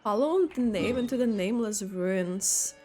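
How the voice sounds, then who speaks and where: A woman streamer reacting to a video game, chatty and excitable, laughing and cursing as she plays. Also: medium pitch, measured pace, slight background noise. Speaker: gaming streamer. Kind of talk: casual game reaction commentary.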